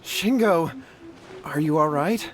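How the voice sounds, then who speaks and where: A man's voice: on the high side, expressive, slow, a little hiss or hum. A man playing a timid, remorseful young man in a video game, fretful and pleading.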